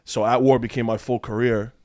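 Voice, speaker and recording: A young man talks at a rapid clip in a relaxed podcast conversation. He is emphatic and heartfelt, swears freely, and keeps checking in with his listeners. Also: mid-range voice. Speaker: podcast guest, clothing brand owner. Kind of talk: casual chat.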